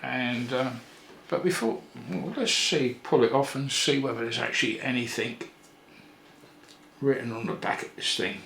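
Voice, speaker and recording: Older male, mid-pitched, casual tutorial presenter thinking aloud, pausing often; roomy echo. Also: vocal fry, fairly bright tone. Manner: unhurried, thinking aloud with pauses. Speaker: electronics repair presenter. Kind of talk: casual hands-on tutorial narration. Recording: roomy echo with faint background noise.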